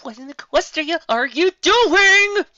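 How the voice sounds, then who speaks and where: Woman voicing an anxious, high-pitched female pony. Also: animated, slow pace, bright, forceful tone, no echo, dull audio, heavily acted. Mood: alarmed. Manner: anxious, exclaiming.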